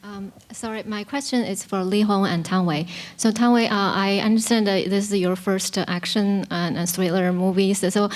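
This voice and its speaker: A woman's voice: mid-range voice, steady tone, normal speed, hardly any pauses. A woman journalist politely asking a two-part question at a press conference, with hesitations.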